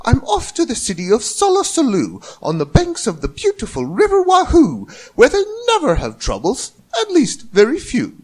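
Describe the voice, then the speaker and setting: A man voicing a bossy, smooth-talking older chap in a rhyming children's storybook, grandly reassuring and persuasive. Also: very high voice, huge pitch swings, normal speed, hardly any pauses, a little mellow, pitched above the performer's own voice, exaggerated voice. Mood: eager, persuasive.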